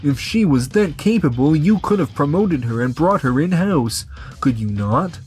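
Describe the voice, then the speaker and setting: A man's voice: on the high side, animated, normal speed, a little mellow, soft background music, lightly acted. A man voicing a stern, principled business client in a scripted manga dub, formal and coolly reproachful. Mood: stern, disappointed.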